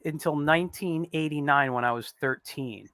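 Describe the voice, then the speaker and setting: A man's voice: fairly high pitch, normal speed, fairly bright tone, dry recording. A man in his forties hosting an online interview show, chatty and upbeat with frequent fillers. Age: 40s. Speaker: host.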